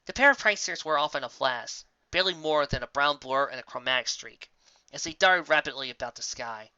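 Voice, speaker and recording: A storyteller reads scripted fiction with theatrical expression. The voice is bright and mid-pitched. It sits in a very dry recording that sounds a little dull. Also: animated, brisk, smooth, no room sound. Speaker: audiobook reader. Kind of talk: scripted narration of fan fiction story, dramatic.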